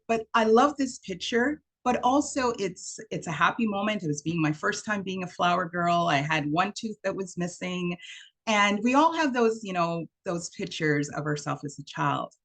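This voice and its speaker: A woman's voice: medium pitch, animated, normal speed. A woman in her fifties from Trinidad, giving an online workshop talk and telling stories with quoted lines, over a video call. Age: 50s. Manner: warm storytelling, acts out quotes. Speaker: workshop speaker.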